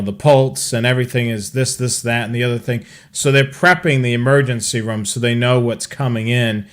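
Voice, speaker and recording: A man hosting a casual talk, explaining things in a halting, conversational way. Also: medium pitch, smooth. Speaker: host.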